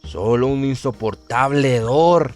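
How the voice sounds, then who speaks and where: A man's voice: medium pitch, a little slow, a little mellow. A man narrating a scripted horror radio story in a dramatic, solemn and suspenseful manner. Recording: music bed behind the voice. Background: music.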